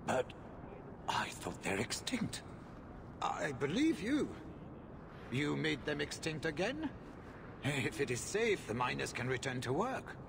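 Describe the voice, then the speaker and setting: A man voicing a shrewd, money-minded mine owner with a brisk, dealmaking manner in a video game. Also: fairly high pitch, big pitch swings, vocal fry, noisy background, full character voice. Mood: suspicious.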